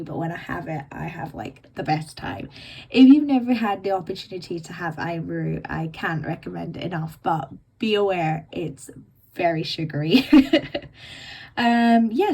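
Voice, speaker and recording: A woman in her late twenties hosting her own podcast, rambling and self-mocking in casual chat, her voice croaky and bunged up from illness. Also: lowish voice, mellow, a little hiss or hum. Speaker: podcast host. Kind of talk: casual chatty monologue.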